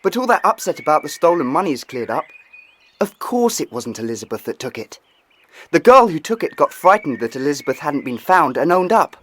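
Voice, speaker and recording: An earnest youth searching anxiously in a children's audio drama. He is polite and well-spoken, with a lively, expressive range. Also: a little high, a little fast. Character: earnest, worried young brother of a runaway girl.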